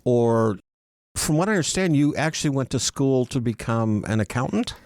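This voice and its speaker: A man's voice: mid-range voice, lively intonation, moderate pace, darkish tone, dry recording. A man hosting a studio podcast interview, asking friendly and enthusiastic questions at the podcast table. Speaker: podcast host.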